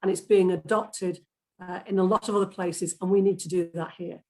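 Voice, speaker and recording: A woman with a Lancashire accent giving a brisk, persuasive speech as a candidate at an election hustings. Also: on the low side, steady tone, mellow. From Lancashire, England. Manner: brisk, persuasive campaign speaker.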